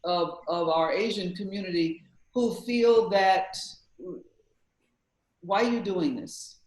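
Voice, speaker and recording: Male moderator, very slow and halting, voice a bit high, echoey room. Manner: halting, repeats words while asking questions. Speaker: panel moderator. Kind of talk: panel discussion.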